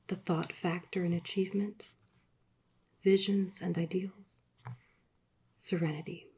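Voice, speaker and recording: A woman reading aloud in a soft, soothing, soft-spoken ASMR style that often drops into whispers. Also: fairly low pitch, slight background noise. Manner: soft, slow, drifting into whispers. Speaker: ASMR reader.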